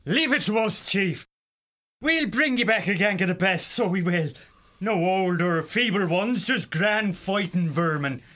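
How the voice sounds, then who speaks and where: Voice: mid-range voice, lively intonation, measured pace, a bit bright, pitched above the performer's own voice. A narrator voicing a cheeky, eager stoat henchman with a folksy, agreeable manner in a storybook. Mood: chirpy, eager.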